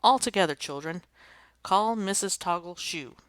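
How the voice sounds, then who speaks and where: A woman's voice: fairly low pitch, animated, moderate pace, clean tone, no echo, pitched above the performer's own voice, lightly acted. A woman voicing a stern, officious male school principal who lectures about rules. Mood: instructing.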